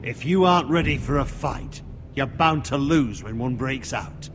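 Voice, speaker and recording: A man's voice: mid-range voice, moderate pace, natural voice. A man playing a hot-headed, hawkish knight in a video game drama, blunt and contemptuous. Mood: scornful, defiant. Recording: long hall reverb, faint music.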